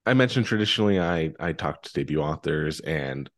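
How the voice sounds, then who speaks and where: A man's voice: a bass voice, lively intonation, a little fast. A man hosting a books podcast in a casual, conversational interview style. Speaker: podcast host.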